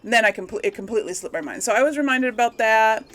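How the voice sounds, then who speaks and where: A woman's voice: fairly high pitch, quick pace, fairly bright tone. A woman hosting a let's play, talking informally to her viewers and thinking aloud as she goes. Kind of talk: casual gaming commentary to viewers.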